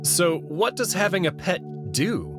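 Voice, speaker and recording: A man's voice: high voice, huge pitch swings, unhurried, pitched above the performer's own voice, heavily acted. A man voicing a frustrated, incredulous young human abductee in a visual novel, questioning indignantly. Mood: sceptical. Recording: clear music behind the voice.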